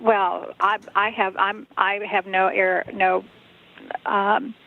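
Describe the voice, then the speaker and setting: A woman's voice: medium pitch, flat delivery, slow, creaky. A woman speaking over the telephone, hesitant and politely noncommittal, as a church worker fielding a request. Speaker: church staff member. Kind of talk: unscripted phone conversation. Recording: muffled band-limited phone line.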